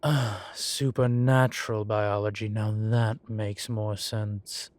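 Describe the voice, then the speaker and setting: A man's voice: on the low side, level tone. A man playing a droll, proud, genteel demon professor who lectures a student in a scripted roleplay audio. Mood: patient lecturing. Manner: unhurried, genteel, gently lecturing. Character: droll, proud, urbane male demon professor, centuries old. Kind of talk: scripted roleplay audio, one-sided conversation.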